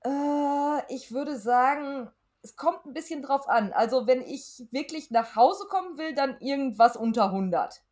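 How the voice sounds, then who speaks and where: A woman's voice: sits high, expressive, measured pace, darkish tone. A middle-aged woman chatting casually as a podcast guest answering questions. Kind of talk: casual chat.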